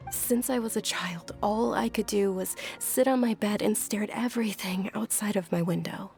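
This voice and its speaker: A woman playing a gentle, frail young noblewoman in a video game, speaking softly and politely with earnest, measured delivery. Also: mid-range voice, vocal fry, soft background music, close to an everyday voice. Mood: wistful.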